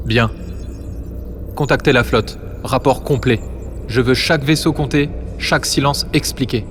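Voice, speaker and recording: A man's voice: medium pitch, unhurried, fairly bright tone, soft background music, close to an everyday voice. A man playing a stern fleet admiral in a science-fiction audio drama, giving clipped, authoritative orders. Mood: commanding, controlled. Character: stern, commanding male fleet admiral, a father.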